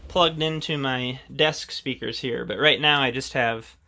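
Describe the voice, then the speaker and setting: A man's voice: mid-range voice, unhurried, bright, forceful tone. A man casually showing off his radio gear at his desk, thinking aloud with frequent "uh"s as he handles the equipment. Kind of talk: casual hands-on demonstration.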